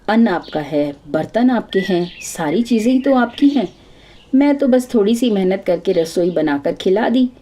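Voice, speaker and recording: A storyteller voicing an earnest, mellow young daughter-in-law. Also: female voice, mid-range voice. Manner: polite, earnest, persuasive.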